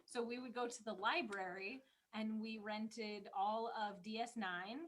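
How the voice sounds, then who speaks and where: A woman co-hosting a casual podcast, reminiscing in an animated way and quick to laugh. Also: a little high, a little hiss or hum. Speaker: podcast co-host. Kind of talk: casual chat, personal reminiscing.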